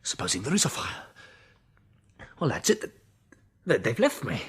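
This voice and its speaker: An actor playing an agitated British hospital patient, speaking hesitantly and with exclamations in a radio drama. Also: male voice, medium pitch, very animated, moderate pace, fairly bright tone, slightly rough, heavily acted. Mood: irritable, anxious. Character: agitated male hospital patient with bandaged eyes.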